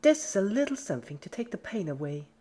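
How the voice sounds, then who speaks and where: Sadistic female cartoon pony, put on by a story reader: high, chirpy, very animated, playful menace throughout. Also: fast talker. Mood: cheerful menace. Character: giggly, sadistic female party pony, relentlessly cheerful.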